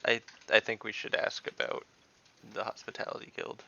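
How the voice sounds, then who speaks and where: A man's voice: lowish voice, little pitch movement, normal speed, gravelly, sharp tone, muffled recording. A man playing in an online tabletop game, thinking aloud and reasoning through options. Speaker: player. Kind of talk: casual online tabletop chat.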